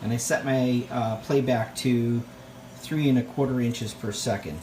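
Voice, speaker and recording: A man giving a casual show-and-tell in a halting, rambling, enthusiastic way, recorded indoors at home on a handheld phone. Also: mid-range voice, fairly even intonation, darkish tone, slight background noise. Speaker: vlogger, tape collector. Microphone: handheld phone near the speaker.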